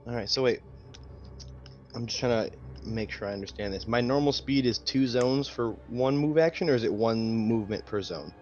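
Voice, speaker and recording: A man's voice: mid-range voice, moderate pace, darkish tone, lo-fi sound, soft background music. A man talking casually and dryly as a player in a tabletop roleplaying game.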